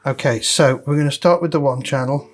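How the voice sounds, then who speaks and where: A man's voice: mid-range voice, fairly quick. Man giving a casual hands-on demonstration. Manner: explains each step as they work. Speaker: host of a vintage audio repair video.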